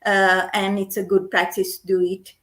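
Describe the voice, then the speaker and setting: A middle-aged woman giving a gentle, earnest guest lecture on meditation, repeating her key points. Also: mid-range voice, monotone, moderate pace. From Sri Lanka. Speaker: guest lecturer, medical doctor.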